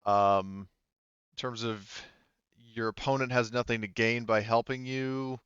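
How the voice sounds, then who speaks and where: A man's voice: mid-range voice, fairly even intonation, unhurried, a bit bright, smooth, no room sound, highs cut off. A man talking casually as game master of a tabletop roleplaying game, thinking aloud as he explains rulings.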